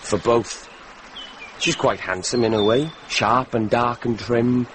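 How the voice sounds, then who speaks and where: A man playing a frank young suitor with a medium-pitched voice. He talks candidly and offhandedly in a medieval radio play, with clear noise on the recording. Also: steady tone, slow, lightly acted. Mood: candid, offhand. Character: frank young betrothed man, unhurried about marriage. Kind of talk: radio drama dialogue. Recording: noisy vintage radio drama recording.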